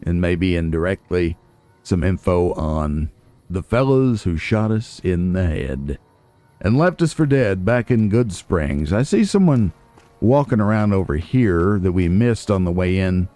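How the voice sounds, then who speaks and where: Guy narrating his playthrough, lowish voice, wry casual musing, soft music behind. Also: animated, unhurried, dry recording. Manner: musing aloud, wry, chatty. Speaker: let's play host. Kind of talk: casual video game commentary.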